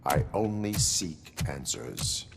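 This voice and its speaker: An actor playing a stoic cyborg policeman in a video game, terse and unyielding, speaking in a clipped, mechanical deadpan. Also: sits low, slow pace, gravelly, music in the background, heavily acted. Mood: stern, impassive.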